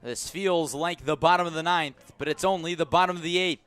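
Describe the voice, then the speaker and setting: Higher-voiced man calling baseball play-by-play. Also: a little fast, clean tone. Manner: excited calls on big plays. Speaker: radio baseball play-by-play announcer. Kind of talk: live sports commentary.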